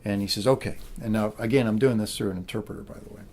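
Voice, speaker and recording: A middle-aged man with an American accent, a military pilot giving an anecdotal talk to a room in an animated storytelling manner. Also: on the low side, fast talker.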